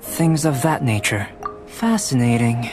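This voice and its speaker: Calm scholarly young alchemist, music behind. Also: male voice, medium pitch. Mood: calm, curious. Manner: measured, formal, analytical. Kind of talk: video game character dialogue.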